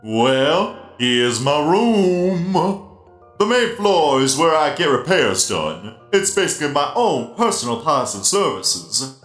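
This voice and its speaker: A man's voice: a little high, sing-song, takes it slowly, fairly bright tone, heavily acted. A man voicing a huge, friendly animatronic spider DJ for a comic dub, genial and playful as he explains things. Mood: playful, welcoming. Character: giant friendly half-blind animatronic spider DJ. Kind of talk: comic dub character acting. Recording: roomy echo, music behind.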